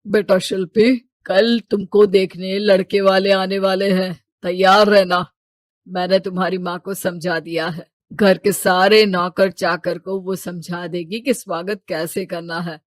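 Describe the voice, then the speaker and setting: A woman voicing a stern, class-conscious middle-aged father in an animated moral story, domineering and scolding. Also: medium pitch, a little slow, dry recording, voice pushed down, close to an everyday voice. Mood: commanding.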